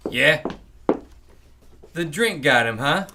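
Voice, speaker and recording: A cocky, spiteful young gunman from a vintage radio crime play, acted by a man. His voice is bright, hard and slightly high, and he sneers in curt bursts. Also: animated, slow pace, creaky, a little hiss or hum, full character voice. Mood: spiteful, gloating. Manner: curt, tough-guy sneering. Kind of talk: old-time radio drama acting.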